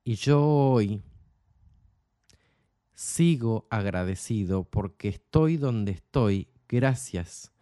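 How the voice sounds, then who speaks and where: Argentine man, low pitch, mellow tone, dry close sound, impassioned host monologue. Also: expressive, very slow, no echo. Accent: Argentine Spanish. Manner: earnest, repetitive rhetorical emphasis. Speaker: program host.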